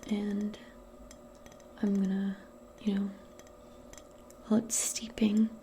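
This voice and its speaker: Softly whispering, slow, mellow woman. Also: mid-range voice, level tone, slight background noise. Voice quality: soft, breathy, often slipping into whisper. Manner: gentle, unhurried, hesitant and improvised. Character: modern witch, a gentle woman tending a guest. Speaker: ASMR roleplay host. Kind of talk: soft-spoken, whispered ASMR personal attention roleplay.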